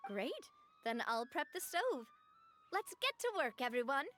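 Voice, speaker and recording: An actress playing a cheerful young female gang leader in a game, gracious and lively. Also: sits high, a little slow, over music. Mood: upbeat. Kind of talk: game voice acting.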